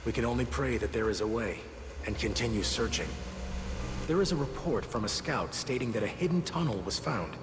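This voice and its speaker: A man playing a steady, grave military commander in a video game cutscene, measured and authoritative. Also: mid-range voice, noisy background, natural voice. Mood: grave, composed. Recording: long reverb.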